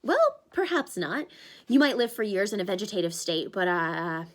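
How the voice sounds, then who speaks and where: A woman's voice: mid-range voice, big pitch swings, normal speed, lightly acted. A woman voicing a jittery young male satyr who pleads and stammers in a panic. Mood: pleading.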